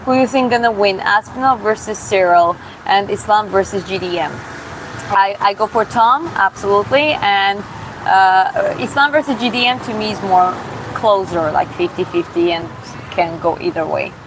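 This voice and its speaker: A woman from Georgia who streams and boxes, reading viewer comments aloud in a live Q&A and answering casually, often pausing and restarting to find her words. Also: mid-range voice. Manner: casual, halting, searches for words with many restarts. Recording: steady background hum.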